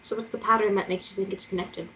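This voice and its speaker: A woman's voice: mid-range voice, fairly even intonation, fast talker, pushed and bright, slight background noise, lightly acted, rough recording. A woman playing an earnest, nervous woman searching for a missing relative, reading hesitantly and naturally at a table read. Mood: earnest, insistent. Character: earnest, nervous young woman seeking her missing aunt.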